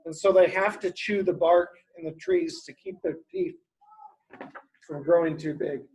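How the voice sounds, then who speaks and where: A woman reading a picture book aloud to a child as a teacher, expressive, coaxing and explanatory. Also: lowish voice, unhurried, poor audio. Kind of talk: children's story read-aloud with questions.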